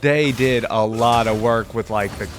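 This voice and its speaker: A man's voice: medium pitch, unhurried, background noise. A man hosting a reaction video with casual, off-the-cuff commentary, informal and exclamatory as he thinks aloud. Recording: music and game audio behind voice.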